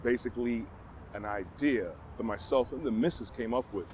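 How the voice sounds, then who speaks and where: A man from Brooklyn with a New York accent and a deep baritone voice, answering questions to camera in an earnest, motivational way. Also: a little hiss or hum. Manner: earnest, motivational, measured phrasing. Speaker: vlogger.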